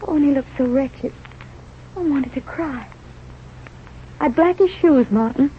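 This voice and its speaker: An actress playing a plucky, devoted young wife with frank, stubborn talk in a vintage radio drama. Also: female voice, high-pitched, unhurried. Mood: tender, admiring. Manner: frank, firm, plain small-town talk. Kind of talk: 1930s radio drama acting. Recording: tinny, noisy old radio recording.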